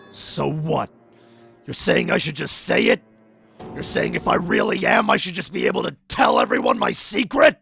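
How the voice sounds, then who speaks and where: A man acts out a hot-tempered young tough weighed down by guilt. He speaks slowly and haltingly, with a hugely swinging pitch and a gravelly edge, in intense anime-dub style. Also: huge pitch swings, fairly bright tone, full character voice. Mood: rattled, defensive. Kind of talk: dramatic anime-style fandub acting. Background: faint music behind the voice.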